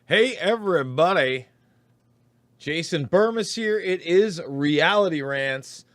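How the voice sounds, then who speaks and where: A hoarse man with a raspy voice, hosting a show of emphatic political commentary. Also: fairly high pitch, expressive, slow, fairly bright tone. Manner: emphatic, ranting delivery. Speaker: host.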